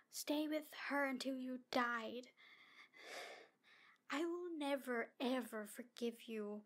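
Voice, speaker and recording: A woman voicing a jealous, obsessive yandere demon woman in an ASMR roleplay, breathy and halting, often slipping toward a whisper. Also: on the high side. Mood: bitter, angry.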